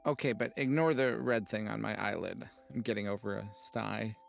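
A man playing a hapless, stubbornly optimistic ad man in a comic audio drama, with a halting, awkward, stammering delivery. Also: on the low side, gravelly, faint music behind. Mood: earnest, self-conscious. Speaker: actor.

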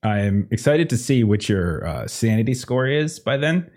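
A man's voice: mid-range voice, big pitch swings, measured pace, darkish tone. Rambling man hosting a tabletop game. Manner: rambling, many fillers, laughs at own jokes. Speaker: game master. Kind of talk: casual chat opening a tabletop game stream.

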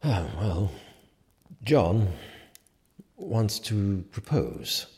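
A teasing, self-satisfied sheriff from a medieval audio drama. The voice sits fairly low and moves animatedly, ringing in a large hall. His formal, wry phrasing sometimes stumbles. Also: very animated, darkish tone, exaggerated voice. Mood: sheepish, awkward. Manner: wry, halting, formal wording. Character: smug, teasing medieval sheriff, awkward in love. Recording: long reverberant hall.

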